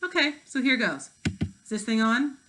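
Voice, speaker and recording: A woman's voice: a little high, expressive, normal speed, pushed and bright, pitched above the performer's own voice. A woman reading the part of a defensive, cocky fifth-grade boy with an offhand manner.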